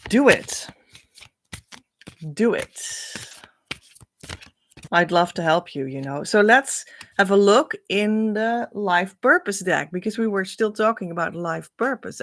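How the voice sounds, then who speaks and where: Woman, slow unhurried speech, gravelly fry, dry close sound, earnest encouraging coach. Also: lowish voice, a bit bright, no room sound. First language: Dutch. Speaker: spiritual coach and card reader. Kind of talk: casual card-reading monologue.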